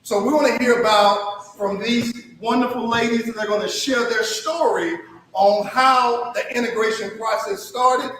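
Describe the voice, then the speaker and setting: A man's voice: extremely high pitch, steady tone, moderate pace, a bit bright, slight background noise. A man from Mississippi, hosting a panel interview in an upbeat, emphatic way. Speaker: podcast host. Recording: echoey room, mic at a distance.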